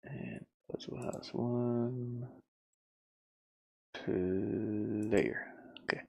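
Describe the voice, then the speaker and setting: A man's voice: lowish voice, fairly even intonation, very slow, gravelly, husky, dry recording. A man thinking aloud in a casual way as he works on his game, muttering to himself in short fragments. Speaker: solo indie game developer. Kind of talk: casual think-aloud screen-recording commentary.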